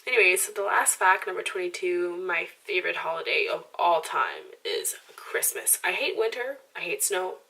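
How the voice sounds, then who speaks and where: Young woman vlogger with a slight Romanian accent, low gravelly tone, chatty and self-deprecating, mic a little off. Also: big pitch swings, normal speed, hardly any pauses, sharp tone, far from the mic, rough recording. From Romanian background. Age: 20s. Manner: rambling, lots of "like" and restarts, self-deprecating. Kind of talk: casual chatty monologue to camera.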